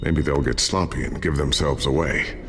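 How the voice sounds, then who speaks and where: An actor playing a loyal, careful inventor and trusted ally in a video game, speaking in a measured, reassuring way. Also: male voice, very deep voice, fairly even intonation, noisy background. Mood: focused, reassuring. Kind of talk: video game dialogue, explanatory.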